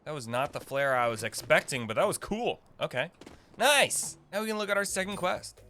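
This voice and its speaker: A man's voice: on the high side, quick pace, a bit bright. A young man giving casual, informal game guide commentary, rambling and thinking aloud as he plays. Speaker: gaming video creator. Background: faint game music.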